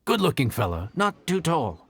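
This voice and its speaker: Animated, chatty young chef. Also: male voice, on the high side, normal speed, slight background noise, exaggerated voice. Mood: confiding. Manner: hesitant, casual, chatty. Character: friendly, humble, chatty young male inn chef. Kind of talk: video game acting. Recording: background music under the voice.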